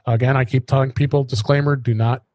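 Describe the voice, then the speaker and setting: A man hosting a casual tech podcast, halting and often restarting his phrases. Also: medium pitch, fairly even intonation, no room sound, lo-fi sound. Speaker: podcast host.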